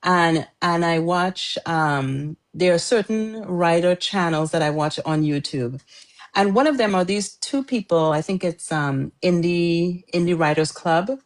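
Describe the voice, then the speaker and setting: A middle-aged woman from Trinidad with a low-set voice talks through her experience as a guest author. She is chatty and animated, laughs easily and tosses off quick asides. The delivery is loose, friendly interview talk. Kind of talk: casual interview chat.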